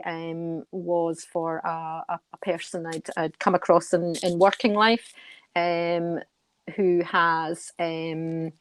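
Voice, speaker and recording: Low-pitched woman, halting and even. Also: no room sound. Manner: hesitant, halting delivery with repeated words. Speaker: interview guest, charity trustee and volunteer riding coach. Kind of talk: informal radio interview.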